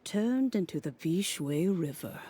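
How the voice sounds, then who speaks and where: A woman voicing a calm, wistful storyteller in a video game, speaking gently and earnestly. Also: fairly low pitch, measured pace. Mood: wistful, sincere. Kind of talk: dramatic video game dialogue.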